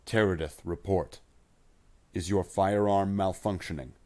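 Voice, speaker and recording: A man voicing a stern, deep-voiced space marine. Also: a little slow. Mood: stern, questioning. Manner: clipped, forceful military orders. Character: stern, commanding male space marine in battle. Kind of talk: narrated war story dialogue.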